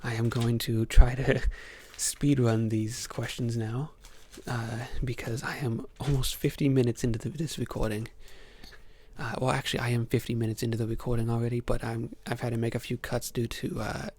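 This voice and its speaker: A young man from Ohio hosting a soft-spoken ASMR Q&A, answering questions hesitantly with many ums. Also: mid-range voice, level tone, normal speed. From Ohio, USA. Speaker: ASMR channel host.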